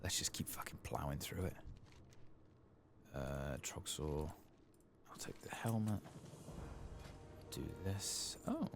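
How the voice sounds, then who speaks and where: Guy doing asmr gaming commentary, super low creaky voice, half whispering, just mumbling along as he plays. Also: slow, pauses often, noisy background. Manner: relaxed, unscripted murmuring, thinking aloud. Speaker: gaming video host. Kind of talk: soft-spoken and whispered ASMR game playthrough commentary.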